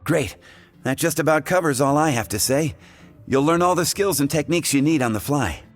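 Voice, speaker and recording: A man's voice: fairly high pitch, a little fast, faint music behind, natural voice. An actor playing a friendly bartender explaining the basics of his trade, chuckling as he talks. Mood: instructive. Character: friendly adult male bartender teacher. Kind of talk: game cutscene voice acting.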